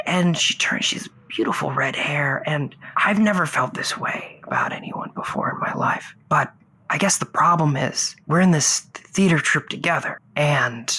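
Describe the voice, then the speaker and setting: Husky, low-voiced, rambling lovestruck caller acted by a woman. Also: moderate pace, sharp tone, whispered, heavily acted. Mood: smitten. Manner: hesitant, rambling, earnest. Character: lovestruck, rambling radio call-in guest, newly divorced puppeteer. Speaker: caller on a radio advice show. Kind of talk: improvised comedy call-in, conversational.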